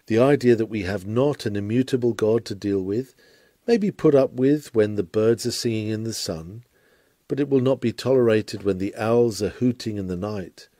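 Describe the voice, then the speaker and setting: A man reading a scripted sermon aloud in a solemn, measured, oratorical manner. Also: on the low side, warm tone, dry recording. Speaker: sermon reader.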